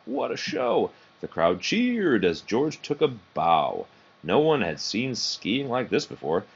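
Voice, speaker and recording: A man reading a children's picture book aloud in an expressive, animated storytelling way. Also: fairly low pitch, slow pace, breathy edge. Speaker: storybook reader. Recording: dull, muffled lo-fi recording.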